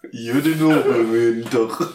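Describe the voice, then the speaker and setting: Slow, rambling tipsy man. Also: medium pitch, echoey room, noisy background. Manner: hesitant and rambling, many ums and trailing restarts. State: drunk. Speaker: podcast co-host. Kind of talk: casual drunken podcast banter.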